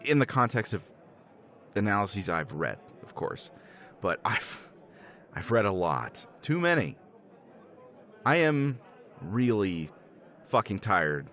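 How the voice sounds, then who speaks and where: A man's voice: sits low, fairly bright tone, heavily acted. A man playing a tipsy middle-aged professor in a romance roleplay, rambling with chuckles and casual swearing. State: tipsy from scotch. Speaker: roleplay character.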